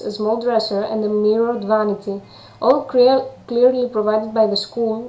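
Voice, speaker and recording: A woman's voice: medium pitch, darkish tone, roomy sound, rough recording. A woman with a Greek accent and non-native English, reading a visual novel aloud and commenting as she plays. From Greece. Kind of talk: game playthrough with read-aloud narration and chatty commentary.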